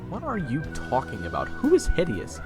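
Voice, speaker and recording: A man playing a loyal, hot-headed human companion in an audio fantasy drama, talking in a casual, halting, earnest way. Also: mid-range voice, huge pitch swings, over music. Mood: indignant. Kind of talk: audio roleplay drama with first-person narration.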